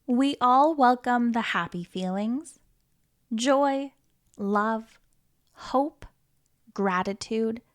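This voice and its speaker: A woman reading a children's book aloud as a storytime host, in an expressive, playful manner with questions to the listener and dramatic pauses. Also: fairly high pitch. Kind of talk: animated children's read-aloud.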